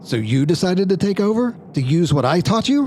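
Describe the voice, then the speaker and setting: A man's voice: high-pitched, sing-song, a little fast, a little mellow, slight background noise. A man playing a smug, egotistical stage magician in an audio drama, taunting and persuasive in a theatrical way. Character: smug, egotistical, coaxing male magician, former stage star.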